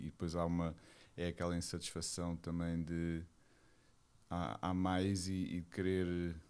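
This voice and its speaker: Monk guest: man in his 40s, Lisbon Portuguese, deep low register, searching for words as he reflects. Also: a little mellow. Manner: hesitant, searching for words, thoughtful. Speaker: Buddhist monk, interview guest. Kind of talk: reflective personal account.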